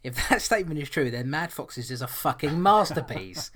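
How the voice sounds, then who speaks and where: A man's voice: a little high, normal speed, crowd noise. A man from co-hosting a podcast, chatting casually, joking and often laughing as he reads out a listener's email. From England, London. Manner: laughing, animated, self-deprecating banter. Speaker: podcast co-host.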